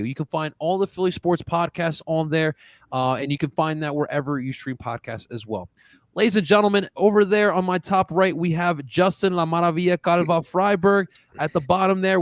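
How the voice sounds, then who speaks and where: An excitable man hosts a casual sports fan podcast from a video call, hyping up his listeners. His voice sits on the high side. The sound is dry and close to the mic. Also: dry recording. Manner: excitable, hypes up fans. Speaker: podcast host.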